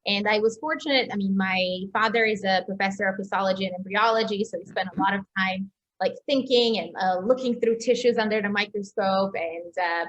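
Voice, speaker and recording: Young woman, slightly muffled recording. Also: mid-range voice. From Serbia. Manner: chatty, halting, many hedges and self-corrections. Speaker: interview guest, doctor and entrepreneur. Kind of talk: casual autobiographical talk.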